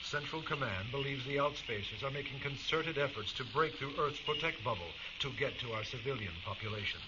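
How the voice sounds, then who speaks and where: A man's voice: medium pitch, brisk, natural voice. Actor doing a stern male wartime newsreader, formal and clipped, dull radio sound with heavy crackle. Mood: stern, official. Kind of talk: scripted wartime news bulletin. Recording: dull radio broadcast under loud crackle.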